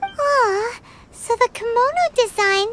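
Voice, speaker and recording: A woman voicing a tiny, childlike, cheerful fairy sidekick in a video game, with a perky, squeaky delivery. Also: lively intonation, slow, full character voice. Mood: warm, curious. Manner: squeaky, perky, refers to self in third person. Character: tiny childlike floating fairy companion, cheerful, food-loving, chatty. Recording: loud background music under the voice.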